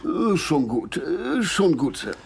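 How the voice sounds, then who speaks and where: Gravelly, blustering police constable. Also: male voice, on the high side, very animated, slow pace, exaggerated voice. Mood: blustering, indignant. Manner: stammering, repeats himself, pompous catchphrase. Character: flustered, blustering male police constable, easily outraged. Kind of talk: German audio drama acting.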